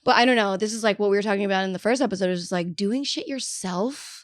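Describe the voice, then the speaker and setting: A woman's voice: mid-range voice, fast talker, no echo. A woman co-hosting a podcast, chatty and emphatic, full of "like" fillers. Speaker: podcast co-host. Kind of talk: casual podcast chat.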